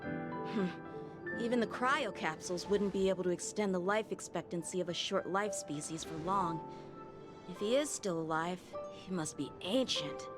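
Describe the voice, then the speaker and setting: A woman's voice: fairly low pitch, normal speed, over music, lightly acted. A woman playing a curious, sceptical young companion in a video game, casual and inquisitive. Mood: sceptical, pondering. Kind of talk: scripted video game dialogue.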